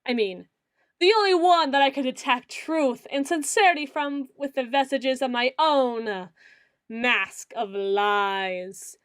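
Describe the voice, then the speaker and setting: A woman playing a melodramatic self-styled female dark lord in an ASMR roleplay, pompous and theatrical, grandiose yet a little awkward. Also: sits high, slow, smooth, no room sound, studio quality. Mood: pompous, menacing. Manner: pompous, theatrical, mock-archaic phrasing with awkward hedging.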